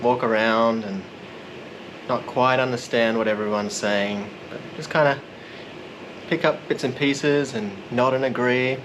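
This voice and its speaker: A man narrating a casual vlog in a relaxed, rambling, self-deprecating way. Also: medium pitch, unhurried, noisy background. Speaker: vlogger.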